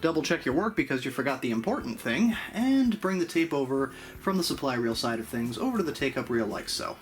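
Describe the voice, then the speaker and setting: Man, casual tutorial host, highly varied intonation, step-by-step explaining, faint music behind. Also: big pitch swings, a little fast. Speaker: host of an audio gear channel.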